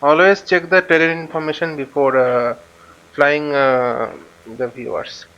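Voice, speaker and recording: Male tutorial host, smooth higher-set voice, halting think-aloud commentary, slightly dull recording. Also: steady tone, a bit bright. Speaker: flight simulator instructor. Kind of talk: informal tutorial commentary while flying a simulator.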